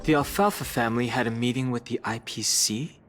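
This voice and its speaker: A man voicing a mature, thoughtful gentleman in a video game, calm, polite and deliberate. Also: mid-range voice, close to an everyday voice. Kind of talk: scripted game dialogue. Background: faint background music.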